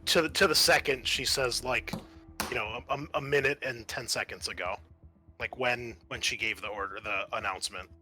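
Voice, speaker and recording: A man with a bright, forceful voice of average pitch acts as game master at a tabletop session. He narrates over music. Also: normal speed.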